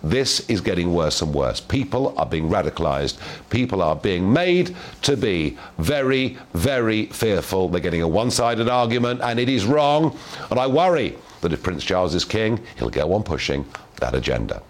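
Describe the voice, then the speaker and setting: A man hosting a broadcast talk show, giving opinions forcefully and interviewing a guest. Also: deep voice, sing-song, moderate pace.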